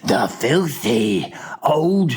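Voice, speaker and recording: A performer voicing a gentle, emotional giant in a children's audiobook, with muddled childlike grammar and exclamations. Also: mid-range voice, big pitch swings, very slow, breathy edge, pitched above the performer's own voice, full character voice. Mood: indignant, saddened.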